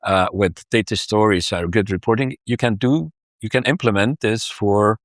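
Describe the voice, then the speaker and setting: A man's voice: lowish voice, normal speed, no echo. Guy talking data consulting, lots of uhs and restarts, dry close sound. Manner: hesitant, many restarts and fillers. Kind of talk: casual business conversation.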